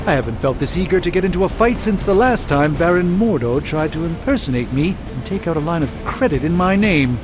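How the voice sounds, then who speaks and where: A man's voice: on the high side, animated, brisk, hardly any pauses, background noise, natural voice. A man playing a proud, erudite sorcerer superhero in a video game, speaking formally with dry wit. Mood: eager, wry. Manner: formal, wordy, dryly witty. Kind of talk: video game character dialogue. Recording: muffled game capture with music behind.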